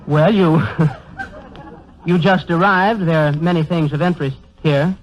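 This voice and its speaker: A man's voice: a little high, a little slow, a faint crowd, close to an everyday voice. A man playing the eager, wordy straight man in a 1930s radio comedy duo, with flowery words and stammered repeats. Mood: earnest, enthusiastic. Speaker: comedian in a double act. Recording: dull 1930s radio broadcast recording.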